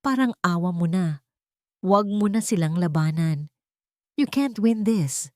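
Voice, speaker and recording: A woman's voice: fairly low pitch, expressive, measured pace, mellow, no echo, studio quality. Woman acting a pleading young man. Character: pleading young man urging his sweetheart to surrender.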